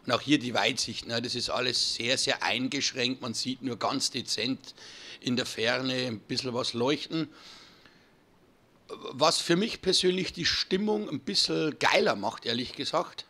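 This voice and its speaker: A hoarse man, chatting casually to viewers while commentating his own gameplay. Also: mid-range voice, measured pace, pushed and bright. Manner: chatty, addresses viewers as friends. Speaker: gaming channel host. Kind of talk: casual let's-play commentary.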